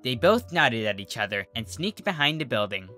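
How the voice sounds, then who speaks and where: A bright-voiced narrator reads a scripted story with music behind. The delivery is animated, moving freely up and down in pitch. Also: moderate pace. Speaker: narrator, fan fiction reader.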